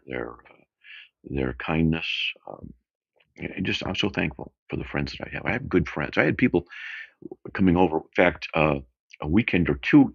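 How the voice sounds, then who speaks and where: A middle-aged man hosting a radio call-in show, talking off the cuff in a casual, halting, meandering way with a gravelly, very deep voice. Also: no echo. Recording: dull, muffled lo-fi radio audio.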